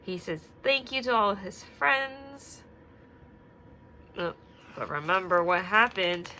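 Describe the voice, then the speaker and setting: A young woman teacher reading a picture book aloud and asking the children gentle questions, sometimes dropping to a whisper. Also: medium pitch, slow, slight background noise. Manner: drops to a whisper at quiet parts.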